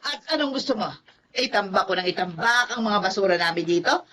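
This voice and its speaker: Bright, forceful, combative grandmother. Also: mid-range voice, lively intonation, brisk. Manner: combative, taunting. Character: defiant, hot-tempered grandmother threatening her noisy neighbour.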